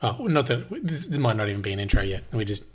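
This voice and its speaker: A young man with a slightly husky voice hosts a casual podcast chat, laughing and restarting often. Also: lowish voice, animated, fast talker. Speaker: podcast host. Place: lounge room at home.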